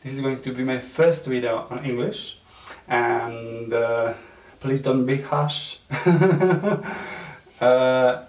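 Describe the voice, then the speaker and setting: A man with a Serbian accent, whose first language is Serbian, gives a makeup tutorial in halting English with many fillers and soft nervous laughs. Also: mid-range voice, fairly even intonation. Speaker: beauty vlogger. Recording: echoey room, mic at a distance.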